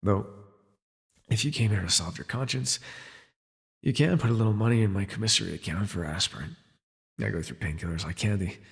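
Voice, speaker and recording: A jaded, imprisoned telepath villain , acted by a man, speaks in a low, gravelly voice that rings with long reverb. He is wry and sardonic, sighing wearily through an ASMR superhero audio drama. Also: brisk, long pauses. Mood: dry, resigned. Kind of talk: ASMR audio roleplay acting.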